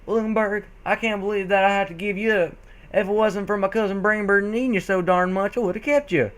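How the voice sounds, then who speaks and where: A man's voice: sits high, fairly even intonation, fairly quick, voice pushed up, lightly acted, rough recording. A man voicing a flustered, defiant female country pony in a comic fan-fiction reading. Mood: earnest, scolding.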